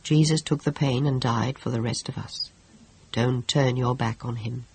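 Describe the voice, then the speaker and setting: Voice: medium pitch, normal speed, fairly bright tone, slight background noise, natural voice. A reader voicing a stern village priest who speaks in a solemn, admonishing way in an audiobook.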